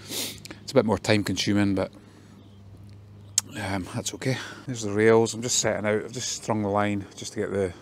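A man's voice: on the low side, steady tone, normal speed, pauses often, slight background noise. A man, a fencing contractor, filming himself as he walks through a job and explains it in plain, practical terms with many ums, outdoors in a garden. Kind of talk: casual work vlog walkthrough.

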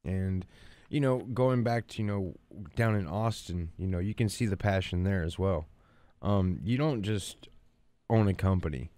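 A man's voice: sits low, unhurried, mellow, no echo. A man hosting a radio talk show, easygoing, prompting his guest with lots of "you know.". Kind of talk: casual radio interview. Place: radio studio.

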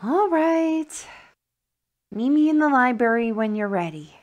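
A woman's voice: high-pitched, animated, a little slow. A woman playing a bodyguard's helpful ally in an audio roleplay. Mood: alert, questioning.

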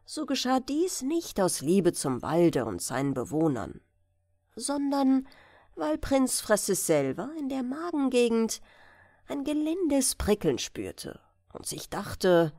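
Unhurried, expressive woman reading fairy tales. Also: a little high, dry recording, clean studio sound. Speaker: audiobook narrator.

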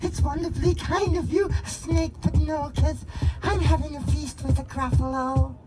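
A woman voicing a small, clever brown mouse who bluffs bigger animals, in a high, eager storybook voice. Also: fairly even intonation, moderate pace, over loud music, close to an everyday voice. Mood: bluffing.

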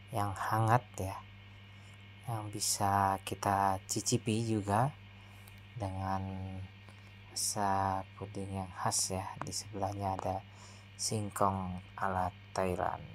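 A man narrating a hotel restaurant buffet tour as a food vlogger, in a steady, slow descriptive way. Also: fairly low pitch, slight background noise.

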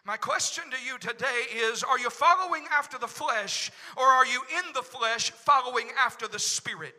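A middle-aged pastor with an Oklahoma accent preaching into a handheld microphone in a large church sanctuary, dropping to a hush and then rising to shouts. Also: male voice, extremely high pitch, normal speed. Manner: swings from hushed to shouting. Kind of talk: preaching a sermon. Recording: long hall reverb in church.

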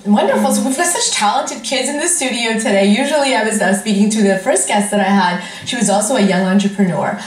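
A woman's voice: on the high side, fast, hardly any pauses. A woman hosting a chatty TV studio interview in an effusive, approving manner. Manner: effusive, frequent exclamations of approval. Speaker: TV interview host. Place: indoor TV studio. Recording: roomy studio echo.

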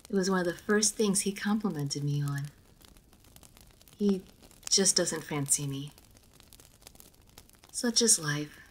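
Woman acting a low-voiced, reserved aristocratic vampire lady. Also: slight background noise, low-quality recording. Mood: reserved, resigned. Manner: formal, measured, guarded. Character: reserved aristocratic female vampire, formal and melancholy. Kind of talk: roleplay audio drama addressed to the listener.

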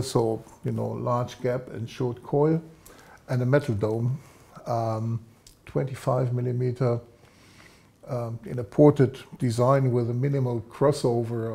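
Low-key older man, mellow and gravelly. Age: 60s. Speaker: guest, loudspeaker designer. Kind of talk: technical interview explanation. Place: studio set, seated indoors.

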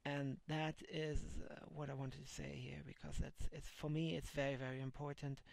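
A man's voice: on the high side, flat delivery, moderate pace, vocal fry, no room sound. A man in his thirties with a German accent, a native German speaker, chatting casually on his video blog, rambling with many fillers and restarts, recorded on a headset mic in a small room. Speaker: vlogger and comic translator. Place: small dim room indoors.

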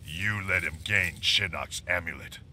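An actor playing a stern, reproachful warrior in a fighting game, speaking deliberately. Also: male voice, low voice, pushed and bright, exaggerated voice. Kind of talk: video game dialogue. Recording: game audio with background noise.

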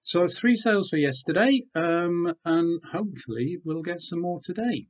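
Low-key older man chatting. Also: expressive, normal speed. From Wales. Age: 60s. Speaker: online seller and video host. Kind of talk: casual vlog chat, showing items. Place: small room at home with bookshelves.

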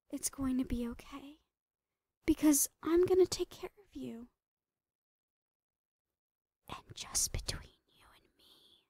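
A woman's voice: high voice, mellow, no echo, studio quality, heavily acted. A woman playing a psychotic female doctor who dotes obsessively on a captive, cooing and slipping into whispers in a horror roleplay. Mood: creepily soothing.

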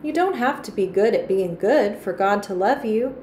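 A woman's voice: mid-range voice, lively intonation, moderate pace, darkish tone, natural voice. A woman reading the words of an earnest converted male preacher in a storybook, emphatic and heartfelt. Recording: echoey room with faint noise.

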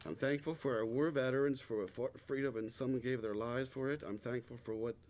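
A man's voice: mid-range voice, steady tone, brisk, darkish tone. A middle-aged man nervously giving a prepared testimony of thanks at a church lectern. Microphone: stand microphone. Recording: noisy church hall recording.

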